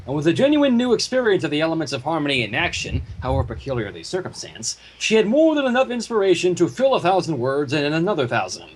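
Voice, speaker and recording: A man with a husky voice reading a story aloud as narrator, in scripted prose. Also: sits high, low-quality recording. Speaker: narrator and fan fiction reader.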